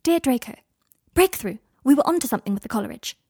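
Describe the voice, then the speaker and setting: A woman reading aloud a letter in the voice of a hurried, excitable young witch who writes in clipped notes. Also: sits high, breathy edge, dry recording, studio quality, pitched above the performer's own voice. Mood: excited. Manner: rushed, clipped note-taking.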